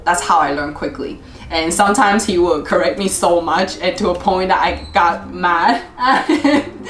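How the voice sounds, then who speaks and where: A woman's voice: fairly low pitch, normal speed, a bit bright, a little hiss or hum. Cheerful woman, roomy echo. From Taiwan. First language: Mandarin. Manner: cheerful, laughs often. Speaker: dating vlog host. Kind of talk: casual chat to camera. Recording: roomy echo, faint music.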